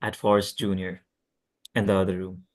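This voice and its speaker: Casting: male stage-direction reader, fairly low voice, plain scripted narration, a little stumbling. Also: little pitch movement, normal speed, darkish tone. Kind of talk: scripted narration at a table read.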